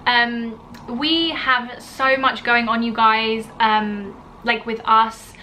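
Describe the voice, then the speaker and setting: A woman's voice: on the high side, steady tone, slow, bright, forceful tone, a little hiss or hum, rough recording. A young woman, telling a personal story to camera in a chatty, gushing way, full of fillers like "you know". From born in Italy, grew up in the UK. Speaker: vlogger. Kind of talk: casual storytime chat to camera.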